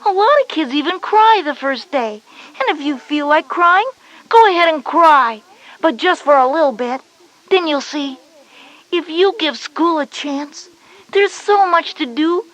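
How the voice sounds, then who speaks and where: A woman's voice: sits high, expressive, a little slow, fairly bright tone, poor audio. A woman voicing a confident schoolgirl big sister, instructive and encouraging, in a children's educational audio drama. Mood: reassuring, encouraging.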